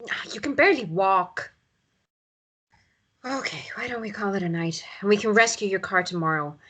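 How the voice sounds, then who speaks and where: A woman's voice: mid-range voice, expressive, moderate pace, a bit bright. Harried countryside mum, played by a woman. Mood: exasperated. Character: harried, irritable countryside single mother, reluctantly hospitable. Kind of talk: drama table read.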